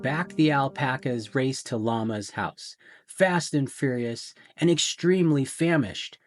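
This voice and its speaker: A man reading a children's picture book aloud in a theatrical way, with deliberate pauses and emphasis. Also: mid-range voice, faint music behind. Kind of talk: dramatic children's storybook narration.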